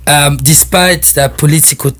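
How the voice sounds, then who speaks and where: A man in his forties who grew up between France and the Republic of Congo, a guest in a radio studio, speaking hesitantly with many pauses and fillers. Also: a little high, fairly even intonation, a bit bright. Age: 40s. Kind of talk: casual interview talk.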